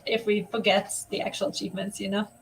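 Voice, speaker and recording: A woman's voice: mid-range voice, level tone, fast talker, slight background noise, low-quality recording. A woman from Germany co-hosting a casual livestream, upbeat and supportive, laughing easily. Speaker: livestream co-host.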